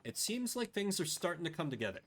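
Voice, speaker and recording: Guy game streamer chatting casually, lively bright voice, little bit of background noise. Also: high-pitched, quick pace. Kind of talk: casual playthrough chat.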